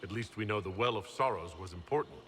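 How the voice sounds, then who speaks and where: An actor playing a resolute, inquisitive adventuring leader in a video game, with a direct, businesslike manner. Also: male voice, lowish voice, animated, fast talker, fairly bright tone, slight background noise. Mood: direct, questioning. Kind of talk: video game dialogue acting.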